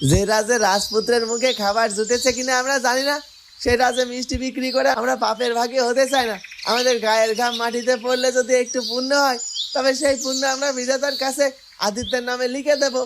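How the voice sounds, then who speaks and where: A woman's voice: on the high side, little pitch movement, fairly quick, natural voice. A woman voicing a solemn, devout, self-sacrificing poor villager in a cartoon. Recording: clear noise, birdsong and clicks behind.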